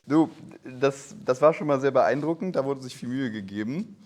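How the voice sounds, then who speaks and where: A man judging contestants on a comedy game show, questioning them in an unhurried, deliberate way. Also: mid-range voice, a little mellow. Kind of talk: unscripted game show banter.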